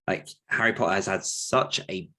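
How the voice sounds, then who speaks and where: A man's voice: deep voice, sharp tone. A man hosting a podcast discussion, speaking casually in a reflective, careful, diplomatic way. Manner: measured, diplomatic, hedging. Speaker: podcast host.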